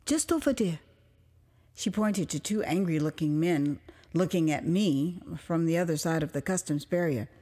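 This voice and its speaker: Expressive American woman telling her story. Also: low voice. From United States (Houston, Texas). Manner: expressive, conversational storytelling with dramatic pauses. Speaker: author and storyteller. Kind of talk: dramatized memoir narration.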